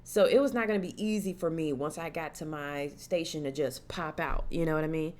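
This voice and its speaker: A young woman tells a personal story straight to her viewers in relaxed, slangy talk. Her voice sits a little low for a woman, and she turns lively whenever she acts out the voices of people in the story. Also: fairly quick. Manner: animated, acts out quoted voices, casual slang. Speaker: horror vlogger. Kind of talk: casual storytelling to camera.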